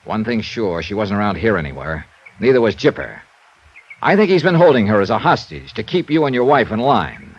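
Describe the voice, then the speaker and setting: An actor playing a tough, steady American investigator, matter-of-fact and persuasive, in old-time radio drama style. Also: male voice, on the low side, fairly quick. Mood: firm, urgent. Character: tough, steady male insurance investigator hero. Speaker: lead and narrator. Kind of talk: old-time radio drama acting, first-person detective narration. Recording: muffled old radio recording.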